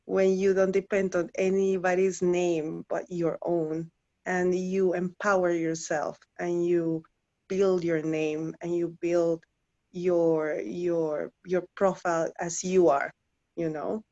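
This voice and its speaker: A woman in her thirties from Guatemala, speaking English as a second language after Spanish, talks slowly and haltingly, restarting her phrases. Her voice sits fairly low. The audio is a bit dull and muffled. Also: little pitch movement, mellow. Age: 30s. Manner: halting, many restarts and fillers. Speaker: interview guest, former client. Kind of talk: casual interview chat.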